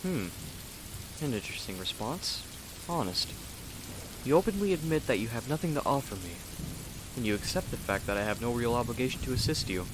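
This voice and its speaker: A man acts out a self-important, easily irked male artist in an audio roleplay. He talks down to the listener with dry, theatrical disdain at a middling male pitch, with rain noise behind him. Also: animated, fairly quick, pauses often, natural voice. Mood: intrigued, guarded. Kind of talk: ASMR roleplay monologue. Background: rain and thunder.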